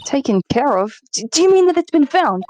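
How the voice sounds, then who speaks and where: A woman's voice: high voice, big pitch swings, fairly quick, darkish tone, a little hiss or hum, voice pushed up. A woman voicing an apologetic young female museum worker in a video game dub, hesitant and gushing. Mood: relieved, gushing.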